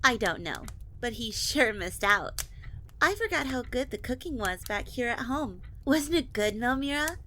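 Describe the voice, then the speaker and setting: High, bright, brash vampire girl played by a woman. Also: animated, measured pace, slight background noise. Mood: teasing. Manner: teasing, whiny, exclamatory. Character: brash, rambunctious, childish vampire noblewoman, a twin. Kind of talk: dramatic audio roleplay acting.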